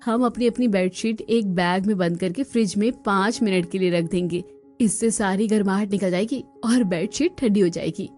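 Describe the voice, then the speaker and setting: A woman's voice: medium pitch, moderate pace, a little mellow, soft background music, natural voice. A woman voicing an eager, practical young daughter-in-law explaining things in a scripted cartoon.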